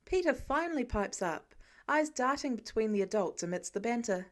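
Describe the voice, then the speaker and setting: Higher-voiced woman reading a story. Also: lively intonation, moderate pace. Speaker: podfic reader. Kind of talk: scripted story narration of fan fiction.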